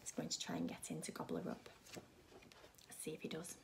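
A woman's voice: rumbling low, very animated, moderate pace, a little hiss or hum. A woman in her thirties, a primary school teacher, reading expressively to young children. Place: small furnished room at home, indoors.

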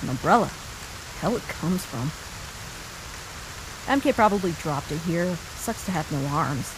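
Woman voicing a cocky, animated young punk. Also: on the low side, measured pace, background noise. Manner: cocky, taunting, sarcastic. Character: brash, mocking, foul-mouthed young punk adventurer. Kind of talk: animated comic dub acting. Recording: music behind the voice.

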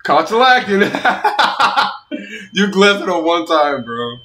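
A man's voice: high voice, sing-song, slow, sharp tone. A young man hosting a reaction video, making laid-back, laughing comments on a headset. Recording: echoey room, music underneath.

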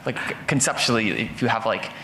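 A man with a loud voice commenting casually from the audience at a talk. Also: lowish voice, moderate pace. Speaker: audience member. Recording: roomy hall pickup, faint noise.

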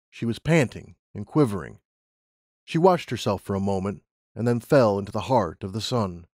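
A man's voice: mid-range voice, a little mellow, no room sound. Man narrating in a close, dry sound. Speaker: audiobook narrator. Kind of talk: scripted story narration.

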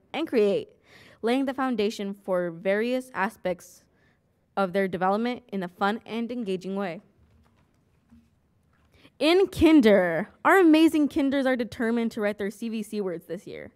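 A young student council president reading a prepared school report aloud at a desk microphone in a large meeting hall. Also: female voice, mid-range voice, clear, resonant tone. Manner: reads aloud from a script, even and careful.

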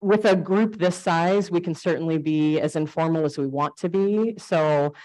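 A woman giving an informal talk on native plants in a library, loud and friendly, asking the audience questions. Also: sits low, normal speed, low-quality recording. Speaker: guest speaker on native plants. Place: library program room.

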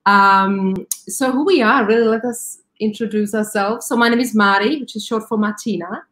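A woman's voice: mid-range voice, moderate pace, fairly bright tone. Guest: woman with Slovak accent, friendly and explanatory, webcam video call. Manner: friendly, explaining with many "like" fillers. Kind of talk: casual interview chat.

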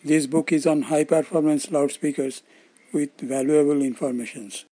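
An older man, around his seventies, with an Indian accent, giving scripted explanatory narration in formal, measured phrasing. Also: a little high, flat delivery, dark tone. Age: older, around 70s. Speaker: presenter of loudspeaker-making videos.

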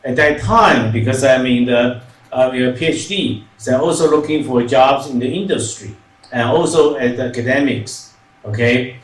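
A man's voice: mid-range voice, moderate pace. Older man speaking in an echoey hall. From Taiwan. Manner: repeats "okay" and restarts phrases. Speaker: university president. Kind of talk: panel talk. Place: large hall. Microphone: handheld mic. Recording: echoey hall, distant mic.

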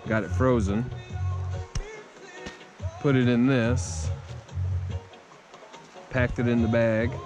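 Slow-paced American man talking outdoors. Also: mid-range voice. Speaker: outdoor vlogger. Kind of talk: casual first-person vlog commentary. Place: outdoors in woods beside a river. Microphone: body-worn action camera.

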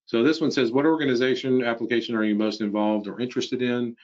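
A man's voice: mid-range voice, fairly even intonation, fast, darkish tone. A man hosting a webinar in a casual presenting style, heard over a video call. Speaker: webinar host. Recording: dull, lo-fi computer audio.

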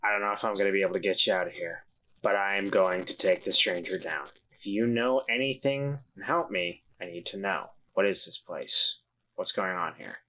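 A man's voice: sits low, normal speed, pushed and bright, low-quality recording. A man playing a tabletop game, chatting casually and role-playing his character. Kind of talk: casual role-play chat.

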